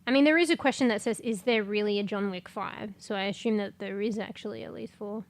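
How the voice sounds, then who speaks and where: A congested woman chatting casually on a livestream, rambling, swearing and breaking into sudden loud exclamations as she reads things aloud. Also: mid-range voice, a little fast. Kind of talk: casual stream chat, reading aloud.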